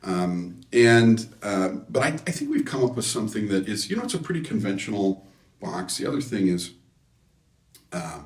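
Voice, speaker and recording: A man being interviewed as a designer, explaining technical matters casually and haltingly, often correcting himself. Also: lowish voice, very animated. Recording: echoey room, distant microphone.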